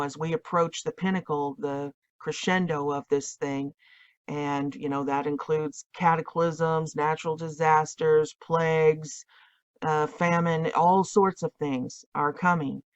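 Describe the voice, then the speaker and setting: A nasal, stuffy-sounding woman talks straight to her viewers in a fervent, preachy, rambling way. The sound is dull and muffled. The room is dry, with no echo at all. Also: deep voice. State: recovering from illness, congested. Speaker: online video host. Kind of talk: casual preaching monologue to camera.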